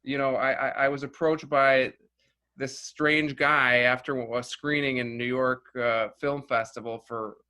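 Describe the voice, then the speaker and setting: A filmmaker whose first language was Russian, talking casually as an interview guest and rambling through many restarts and "you know"s. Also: male voice, medium pitch, fairly even intonation, a little slow, bright, forceful tone.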